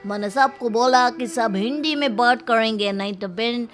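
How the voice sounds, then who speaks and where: A woman's voice: on the high side, normal speed, faint music behind, voice pushed down, close to an everyday voice. A woman voicing a bossy, selfish young Chinese man, the brother in a cartoon story.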